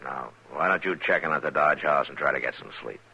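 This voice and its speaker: An actor playing a steady, weary town marshal in an old-time Western radio drama, dry and patient. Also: male voice, extremely low pitch, brisk, creaky, husky, heavily acted. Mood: calm, measured. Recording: dull vintage radio recording, saloon chatter behind.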